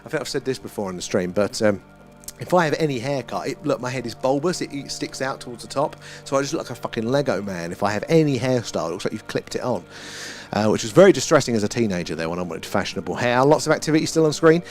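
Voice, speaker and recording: A man's voice: medium pitch, lively intonation, quick pace, darkish tone, soft background music. A British man hosting a live stream, chatting casually in a rambling, musing way with some mild swearing. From United Kingdom. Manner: musing, loosely philosophical, mildly sweary. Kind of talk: casual rambling livestream chat.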